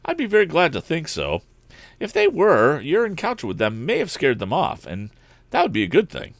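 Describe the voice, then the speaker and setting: An eager, earnest teenage boy inventor from an adventure storybook, played by a man reader. The pitch is lifted and moves around in lively swings, with emphatic phrasing. The sound is close and dry. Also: normal speed, vocal fry, dry recording, exaggerated voice. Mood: puzzled, thoughtful. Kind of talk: audiobook character voice.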